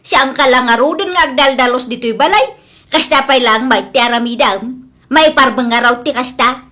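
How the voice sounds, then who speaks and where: Woman playing nagging ilocano mother-in-law, really high sharp voice, fed up, comedy drama. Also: moderate pace. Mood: angry scolding. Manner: scolding, exasperated.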